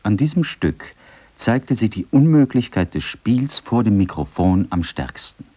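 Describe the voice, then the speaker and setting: A man's voice: fairly low pitch, big pitch swings, a little slow, warm tone. A man reading first-person accounts and quotations from a script for a radio feature.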